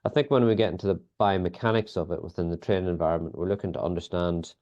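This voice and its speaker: Northern Irish male guest, deep, mellow tone, measured and full of "um"s. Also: fairly even intonation. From Northern Ireland. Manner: thoughtful, many fillers and restarts. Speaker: sports biomechanics lead, guest. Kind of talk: conversational interview answers. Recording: dull lo-fi audio.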